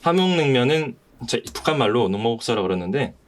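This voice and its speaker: Polite, halting male podcast host. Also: medium pitch, sing-song. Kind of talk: semi-prepared podcast talk about a play.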